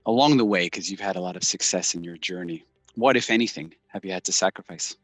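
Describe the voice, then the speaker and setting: A man's voice: low-pitched, fast talker, fairly bright tone, no echo, faint music behind. A man hosting a semi-formal interview, introducing his guest and putting questions. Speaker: interviewer, host.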